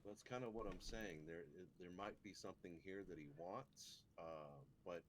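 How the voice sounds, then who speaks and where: The mic is noisy and clicky. A skeptic in a fantasy gathering voiced by a man talks in a very deep register. He is tentative, in improvised roleplay. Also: moderate pace, close to an everyday voice. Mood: skeptical. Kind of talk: live improvised online roleplay.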